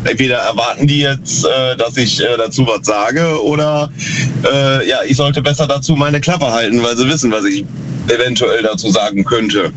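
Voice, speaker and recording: Male radio caller, hesitant and filler-heavy, muffled line with rumbling noise. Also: steady tone, moderate pace. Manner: hesitant, many "äh" fillers, chuckles at own story. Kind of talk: casual phone-in chat.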